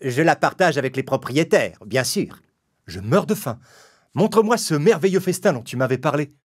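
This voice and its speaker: A man voicing a small, excitable, expressive rat in a theatrical manner for a children's cartoon fable. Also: on the high side, normal speed, husky. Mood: curious. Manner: exclamatory, theatrical.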